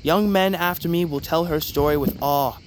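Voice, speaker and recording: An actor playing a young tribesman who teases his sister playfully but speaks to her gently. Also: a little high, moderate pace, natural voice. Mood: reassuring. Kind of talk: audio drama acting. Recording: noisy ambience bed.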